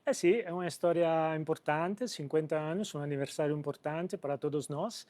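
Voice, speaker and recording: A man's voice: on the high side, a little mellow, no echo. A man from Italy speaking with an Italian accent as an interview guest, hesitating often as he searches for words. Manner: halting, hesitant delivery with repeated searching for words. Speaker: company president, interview guest. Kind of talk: interview answers on business.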